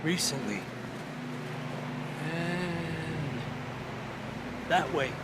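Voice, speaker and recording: High-voiced Mexican outlaw gunslinger. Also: male voice, extremely slow, heavy background noise. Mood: urging. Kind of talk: cinematic Western game acting. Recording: loud music behind the voice.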